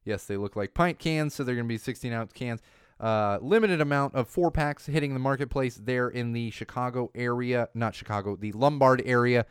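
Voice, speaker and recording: A man hosting a casual news podcast in a studio, rambling and correcting himself as he goes, his voice a bit hoarse from losing it. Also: medium pitch, moderate pace, no room sound. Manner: chatty, rambling, self-correcting. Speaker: podcast host.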